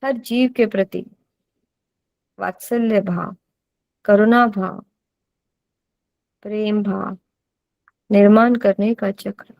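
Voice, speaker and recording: A woman with an Indian accent leads a guided meditation as a teacher, giving deliberate instructions and repeating phrases for emphasis. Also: medium pitch, steady tone, vocal fry, no room sound, lo-fi sound.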